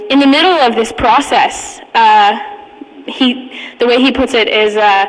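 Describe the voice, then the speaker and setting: A woman giving an informal talk, telling a personal story in a halting, amused manner. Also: medium pitch. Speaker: conference speaker, radio hacker community member. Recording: echoey hall with long reverb.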